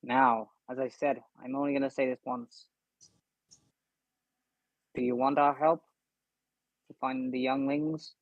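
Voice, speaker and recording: A man's voice: medium pitch, level tone, no room sound, natural voice. A man playing a stubborn, proud fighter. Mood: insistent. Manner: halting, insistent. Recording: dull, dry.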